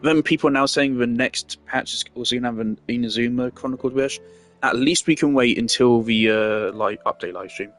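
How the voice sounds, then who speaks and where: A man's voice: medium pitch, brisk. A young man commentates on a game in a mid-range voice. He is casual, blunt and sweary, feeling his way through opinions in hesitant phrases. Faint music plays underneath. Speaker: game streamer.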